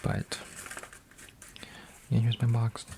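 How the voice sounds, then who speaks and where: A man in his twenties, an ASMR creator, rambling softly with lots of fillers and restarts while folding paper, sometimes dropping to a whisper. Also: mid-range voice, completely flat delivery, drawn-out words, vocal fry, background noise. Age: 20s. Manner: meandering, full of fillers and restarts. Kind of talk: soft-spoken rambling ASMR.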